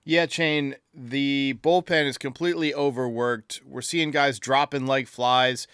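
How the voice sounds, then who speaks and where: A man's voice: mid-range voice, steady tone, a little slow, fairly bright tone, clean tone, no echo, studio quality. A man hosting a sports podcast, giving opinionated, critical commentary in an emphatic manner. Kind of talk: sports commentary, answering listener voicemails.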